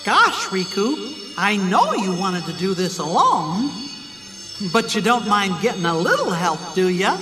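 An actor voicing a small, kindly cartoon mouse king in a video game, with a squeaky falsetto and a gentle, folksy, earnest manner. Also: huge pitch swings, a little slow, exaggerated voice. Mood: gentle, reassuring. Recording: long hall reverb, clear music underneath.

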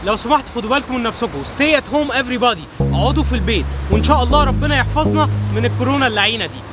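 A man's voice: very high voice, big pitch swings, over music. A young man with an Egyptian Arabic accent, hosting a casual vlog outdoors at night and chatting with a guest. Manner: brisk and upbeat, addressing viewers directly. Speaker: vlogger and interviewer. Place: outdoors at night by a city waterfront.

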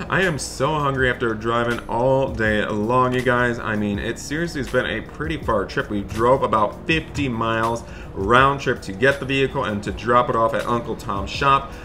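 A man's voice: mid-range voice, pushed and bright, a little hiss or hum, natural voice. A man playing a cheerful, thrifty father in an improvised video game roleplay, chatty and folksy. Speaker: gaming video host.

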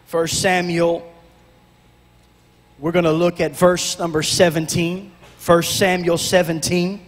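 A middle-aged man preaching on a church stage into a handheld mic, with a shouting, half-sung preacher cadence that drops to quiet prayer. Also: a little high, little pitch movement, takes it slowly. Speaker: pastor. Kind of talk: Pentecostal sermon and prayer. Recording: long church reverb, music behind.

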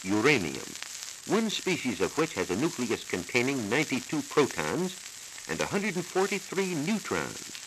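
An American man working as a science reporter, giving a formal, measured, scripted explanation in 1940s radio style. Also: mid-range voice, wildly swooping pitch. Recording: hissy, crackly 1940s transcription disc.

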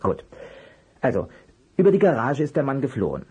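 A man playing a polite, persistent private detective in an audio drama, with a husky voice and a courteous, probing way of asking. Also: medium pitch, wildly swooping pitch, brisk, a little mellow, heavily acted. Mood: probing, thoughtful. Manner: courteous, probing, hesitant at times. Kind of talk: German audio drama acting.

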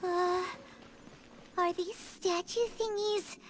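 A woman voicing a tiny, childlike, excitable female fairy companion in a cartoonish video game, with a squeaky voice and game sounds behind it. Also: slow, background noise. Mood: uneasy, curious.

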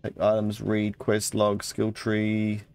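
A man's voice: fairly low pitch, completely flat delivery, takes it slowly, gravelly, a little mellow. A man giving casual let's play commentary, muttering his thoughts aloud and reading out item text.

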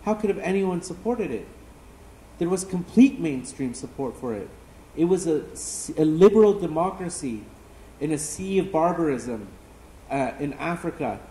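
A man's voice: a little high, expressive, moderate pace, mellow. Impassioned man speaking in an echoey classroom. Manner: impassioned, many "uh" fillers. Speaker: panelist. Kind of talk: activist panel speech. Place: university classroom. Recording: echoey classroom, faint noise.

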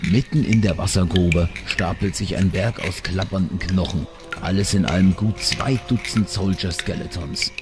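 A man's voice: deep voice, moderate pace, over music. A man reading scripted narration for a fantasy audio drama.

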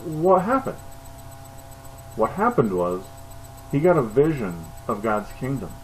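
A man's voice: medium pitch, very animated, unhurried, slight background noise, rough recording. A man in his forties, a pastor, preaching a sermon and reading scripture aloud with earnest emphasis, seated on a couch at home in front of a webcam. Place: living room, seated on a couch, re-recorded at home. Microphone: webcam at close range.

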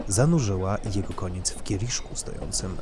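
Low-voiced male narrator over noisy ambience. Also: animated, normal speed. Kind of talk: scripted literary narration of audio drama. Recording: clear background noise of urban ambience.

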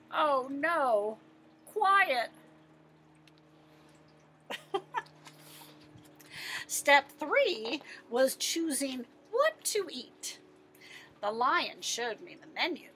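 Animated, high-voiced woman reading to children. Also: very slow, pauses often, a little hiss or hum. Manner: expressive, asks the listeners questions. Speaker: teacher reading aloud to pupils. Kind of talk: animated children's picture-book read-aloud.

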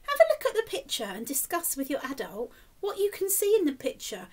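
High-pitched, animated woman reading to children. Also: a little fast. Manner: expressive, exaggerated, encouraging, speaking as to small children. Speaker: teacher reading a picture book to young children. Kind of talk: animated children's storytime reading with questions to the listeners. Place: small indoor room, plain wall.

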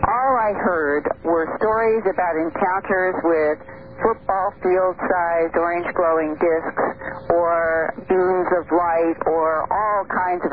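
A middle-aged woman calling in as a guest reporter, speaking softly and in measured detail. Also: fairly low pitch, slight background noise. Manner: soft-spoken, detailed and measured. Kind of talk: radio interview, recounting her investigation. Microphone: phone call. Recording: tinny phone line on 1990s radio.

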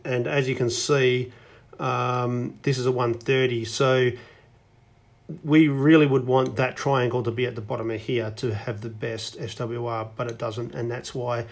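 A man's voice: mid-range voice, flat delivery, a little slow, gravelly. A man giving a casual hands-on demonstration as a hobbyist reviewer. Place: indoors at a wooden tabletop.

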